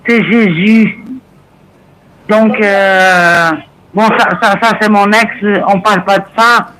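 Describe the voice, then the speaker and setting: A woman whose first language is Portuguese, calling in on the phone and speaking French haltingly, with many restarts and fillers. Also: mid-range voice, steady tone, a bit bright, slight background noise, low-quality recording. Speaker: caller. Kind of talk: phone conversation.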